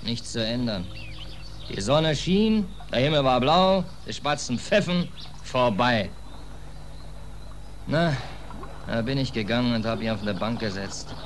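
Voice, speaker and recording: An actor playing a cheeky, easygoing American sailor who talks in a hesitant, rambling, casual way in a 1940s German radio play. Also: male voice, medium pitch, animated, measured pace, pauses often, slight background noise, lightly acted. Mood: wistful, rambling.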